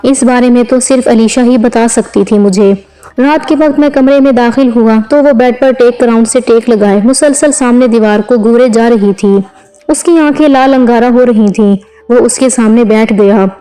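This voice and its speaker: A woman's voice: on the high side, mellow, poor audio. A woman reading a scripted romantic drama story as its narrator.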